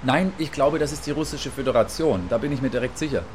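Guy acting a musing contrarian news co-commentator, measured and brainy, radio play, some background noise. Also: medium pitch, close to an everyday voice. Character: wistful, philosophizing male co-commentator with contrarian sympathies.

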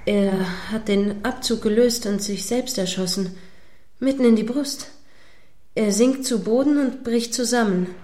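A woman's voice: a little high, measured pace, warm tone, natural voice. A woman playing an indignant, moralizing radio reporter giving live commentary on a standoff in a radio play. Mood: shocked, moralizing.

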